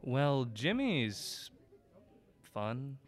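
A man playing a mild, curious young sidekick in an audio drama, gently wry and a little hesitant. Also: medium pitch, big pitch swings, drawn-out words. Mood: awkward, wry.

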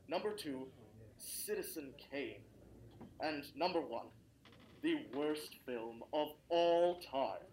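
A man's voice: fairly high pitch, darkish tone. A man acting a snobbish, disdainful TV film critic in a radio drama, pompous in delivery. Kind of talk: mock TV review in radio drama. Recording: roomy echo, some background noise.

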